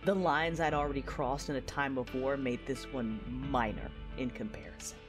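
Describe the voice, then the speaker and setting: Actress as female Jedi knight, very deep voice, introspective, intense, deliberate delivery, audio drama narration, music underneath. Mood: passionate. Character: intense, passionate female Jedi knight recounting her past. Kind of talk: first-person audio drama narration with dialogue.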